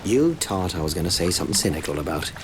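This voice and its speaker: Low gruff newfoundland soldier, cynical n guilty, game drama, loud rain rumble. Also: male voice, big pitch swings, fast. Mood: subdued. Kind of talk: dramatic video game acting.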